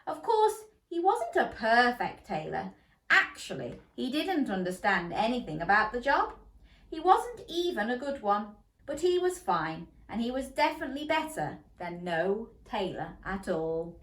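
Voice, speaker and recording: Woman storyteller for kids, lively pitch, roomy echoey recording. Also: measured pace. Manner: playful, interactive, animated. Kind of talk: bedtime story reading for children. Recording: roomy echo.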